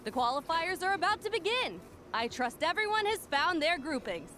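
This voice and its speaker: A woman's voice: very high voice, sing-song, moderate pace, fairly bright tone, a little hiss or hum, full character voice. A woman playing a young tournament host in a video game, announcing in a formal, showy manner. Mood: ceremonial, upbeat. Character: bright, official young female tournament host.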